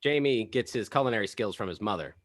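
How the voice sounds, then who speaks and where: A man's voice: lowish voice, expressive, fast talker, lightly acted. A man playing a wry, exasperated middle-aged dad, dry and sarcastic, in a comedy table read.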